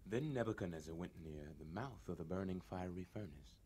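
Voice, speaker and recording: Low-voiced man narrating scripture. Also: animated, a little fast, dark tone, husky.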